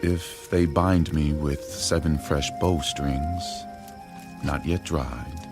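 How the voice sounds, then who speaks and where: A man's voice: very deep voice, fairly even intonation, slow, creaky, music in the background, full character voice. An actor playing a guarded ancient strongman, speaking intimately in a dramatic audio Bible production.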